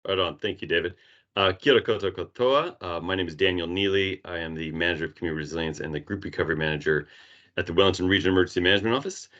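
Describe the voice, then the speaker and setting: A deep-voiced American man in middle age talks through a webinar talk, relaxed and conversational. His speech meanders with many fillers. The audio comes over a video call, muffled and lo-fi. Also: racing. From Arizona, United States. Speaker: emergency management presenter. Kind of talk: webinar presentation.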